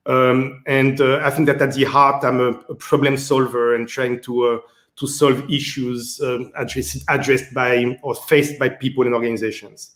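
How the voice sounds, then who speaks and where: A man's voice: mid-range voice, level tone, normal speed, fairly bright tone. A man from Martinique with a French accent, answering interview questions as a guest executive, hesitant and full of fillers. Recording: roomy echo.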